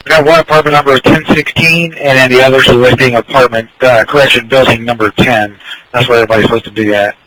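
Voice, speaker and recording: A man's voice: mid-range voice, fairly even intonation, fast, fairly bright tone, slight background noise, poor audio. A man working as a police dispatcher, relaying calls in clipped procedural phrases over a scanner radio. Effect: police radio transmission.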